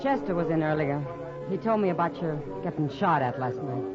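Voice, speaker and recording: A woman's voice: low-pitched, expressive, brisk. An American woman playing a frank, worried saloon woman in a 1950s radio western, speaking in a direct, familiar way. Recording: dull vintage radio recording with music.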